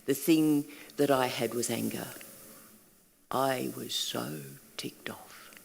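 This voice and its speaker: A woman's voice: extremely low pitch, takes it slowly, vocal fry, a little mellow, slightly rough, in a large hall, rough recording. An older Australian woman speaking at a panel event, earnest and reflective, dropping at times to a near whisper. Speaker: panel guest, workshop leader. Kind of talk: candid panel talk. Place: event hall.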